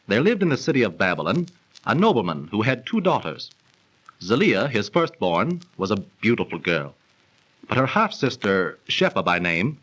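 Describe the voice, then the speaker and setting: A man's voice: mid-range voice, a bit bright, poor audio. An actor playing a genial, learned young man who explains legends in polished, theatrical 1930s radio style. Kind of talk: 1930s radio acting and storytelling. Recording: old 1930s radio transcription recording.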